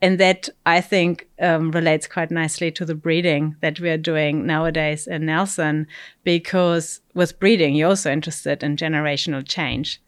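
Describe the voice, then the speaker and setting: A woman's voice: deep voice, fairly even intonation, normal speed, clean tone. A middle-aged woman from Germany, a fish breeding scientist answering interview questions with many fillers and asides. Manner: thoughtful, explains with many fillers and "you know".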